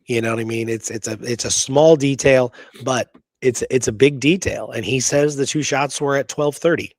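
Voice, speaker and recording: A man with a mid-pitched voice, recorded close and dry, talks through history as a show host. He is informal and emphatic, moving between reading accounts and commenting on them, with frequent little check-ins to the listener. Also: fairly even intonation, normal speed, dry recording. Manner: informal, emphatic, checks in with "okay?" and "you know?".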